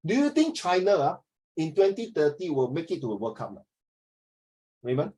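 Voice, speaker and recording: A middle-aged male teacher with a Singaporean English accent and a slightly high voice. He jokes and drifts off topic, mixing in Chinese phrases as he talks. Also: wildly swooping pitch, moderate pace, darkish tone. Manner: joking, digressive, switching to Chinese. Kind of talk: classroom lesson.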